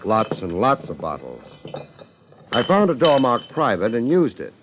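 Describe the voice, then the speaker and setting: An actor playing a wry, hard-boiled American detective with deadpan wisecracks, in 1950s radio drama style. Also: male voice, mid-range voice, unhurried, background noise. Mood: wry, flirtatious. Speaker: detective and narrator. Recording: dull, band-limited 1950s radio recording.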